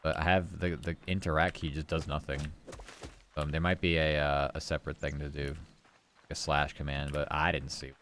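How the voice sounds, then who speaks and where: Low, cozy-voiced man streaming a game. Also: little pitch movement, normal speed, a bit bright, slight background noise. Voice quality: comfy, cozy tone. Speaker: livestreamer. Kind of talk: casual gameplay chat with viewers.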